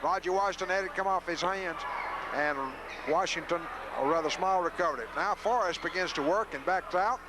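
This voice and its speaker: A man's voice: high-pitched, a little fast. A man calls live basketball play-by-play in a folksy way for local radio, openly favouring the home team. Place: high school gym. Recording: noisy 1980s local radio broadcast.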